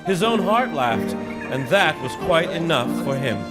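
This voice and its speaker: A man's voice: fairly high pitch, very animated, a little slow. A man narrating a story theatrically in a live radio drama. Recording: loud music behind live narration.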